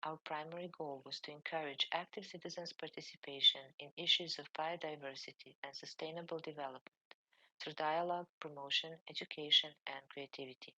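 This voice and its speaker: A woman from Serbia, a librarian, reading a formal conference presentation in a measured way. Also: low voice, steady tone, pushed and bright, poor audio.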